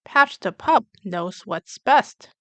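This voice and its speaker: A woman reading a rhyming picture book aloud for young children, in an expressive, clearly enunciated storytelling manner. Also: medium pitch, slow pace, no room sound. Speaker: children's story reader, channel host.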